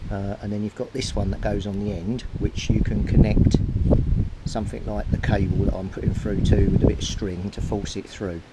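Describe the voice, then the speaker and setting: A man talks through a practical job in a fairly deep voice. He sounds casual and matter-of-fact, explaining as he works. The recording is very noisy, with clicking and rustling behind him. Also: level tone, moderate pace. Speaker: DIY video maker. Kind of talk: casual hands-on demonstration, talking to camera while working.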